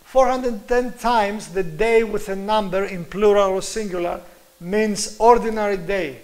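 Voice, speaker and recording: Emphatic Greek-accented man lecturing, high voice. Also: measured pace, darkish tone. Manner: earnest, emphatic, chuckles at own points. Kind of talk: presentation lecture with slides.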